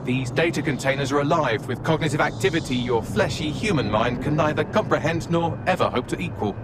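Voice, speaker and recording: An actor playing a sardonic, condescending artificial intelligence in a video game, articulate and dryly polite while menacing. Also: male voice, on the high side, fairly quick, noisy background, lightly acted. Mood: haughty. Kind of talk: scripted game dialogue.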